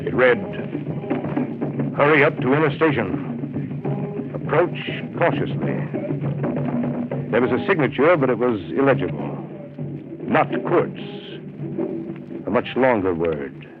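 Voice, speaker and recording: An actor playing a brooding steamboat captain who narrates a jungle voyage in grave, measured 1930s radio drama style. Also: male voice, medium pitch, lively intonation. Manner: grave, measured, descriptive storytelling. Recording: tinny 1930s radio broadcast with music behind.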